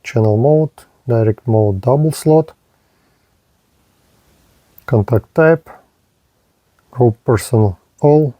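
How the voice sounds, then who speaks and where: A man's voice: mid-range voice, dark tone, no room sound. A man narrating a hands-on radio equipment test as a reviewer, speaking in short clipped phrases. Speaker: product reviewer.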